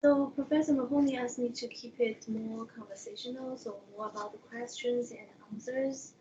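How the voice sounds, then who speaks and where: Woman, Chinese as first language, non-native phrasing, slightly high voice, muffled call audio. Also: steady tone, moderate pace, mellow, a little hiss or hum. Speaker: international education coordinator. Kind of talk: presenting slides, conversational.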